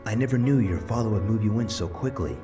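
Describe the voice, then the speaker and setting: A man playing the kindly, proud spirit of a grandfather in a visual novel, gentle and earnest. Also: on the low side, animated, fast, faint music behind, close to an everyday voice. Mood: proud, tender. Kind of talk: visual novel character dialogue.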